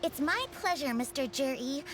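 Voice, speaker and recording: Courteous antiques dealer. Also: female voice, high voice, expressive, normal speed, a little hiss or hum. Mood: gracious. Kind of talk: video game voice acting.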